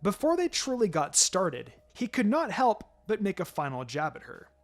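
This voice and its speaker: A man's voice: sits high, wildly swooping pitch, moderate pace. A man reading fan fiction aloud as a narrator, in a dramatic scripted storytelling style. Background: music.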